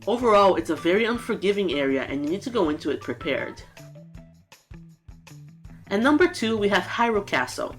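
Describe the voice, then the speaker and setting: A woman's voice: mid-range voice, sing-song, fairly quick, pauses often, soft background music. Woman w/ a cold, nasal and stuffed up, earnest scripted gaming vlog voice. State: congested from a cold. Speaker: gaming YouTuber. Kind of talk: scripted ranking narration.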